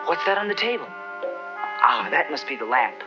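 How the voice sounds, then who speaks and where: A man's voice: a little high, very animated, normal speed. A man voicing a plucky, earnest teenage street boy in a 1970s cartoon dub, exclamatory and wide-eyed. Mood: awed. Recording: dull old TV soundtrack, music behind.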